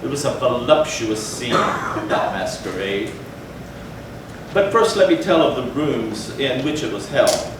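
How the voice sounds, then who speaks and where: A man giving a dramatic stage reading of a gothic story with theatrical, measured phrasing. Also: medium pitch, expressive. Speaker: stage reader. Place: indoor theatre, far from the mic. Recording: echoey theatre from far off, faint noise.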